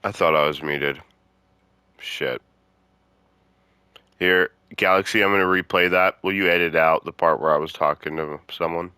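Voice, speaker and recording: Low, bright-voiced man commentating gameplay. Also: fairly even intonation, moderate pace, long pauses, clean tone, dry recording. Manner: informal, sweary, muttering reactions to himself. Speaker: gaming video host. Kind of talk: casual game playthrough commentary.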